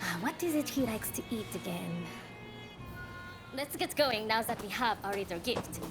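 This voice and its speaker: A woman's voice: on the high side, lively intonation, moderate pace. An actress playing a spirited, loyal young woman in a dramatic video game. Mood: friendly, musing. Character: spirited, warm young Japanese woman, loyal to her companions. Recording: music behind the voice.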